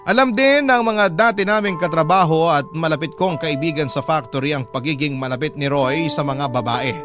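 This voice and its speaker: Radio drama narration by a man in the role of a wronged mother; highish voice over prominent music. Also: close to an everyday voice. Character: wronged young mother recounting her partner's cheating. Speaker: narrator reading a letter sender's story. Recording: music bed under voice. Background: instrumental music.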